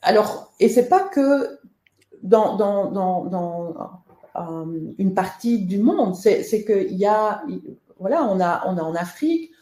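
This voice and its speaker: A woman speaks as the guest in a webinar interview. Her voice is mellow and warm, and she stops often on fillers and restarts. The sound is echoey, as if she is in a bare room. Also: mid-range voice, lively intonation, slow pace. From Belgium. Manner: hesitant, many fillers and restarts. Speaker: author and guest.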